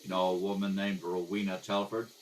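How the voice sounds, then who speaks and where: A man acts as a businesslike detective from a radio crime drama. The voice is low and clipped, matter-of-fact, recorded slightly away from the microphone. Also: level tone, a little fast, slight background noise, close to an everyday voice. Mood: terse, probing. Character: terse, businesslike male police detective narrating his case. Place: at a kitchen table. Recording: slightly distant home microphone.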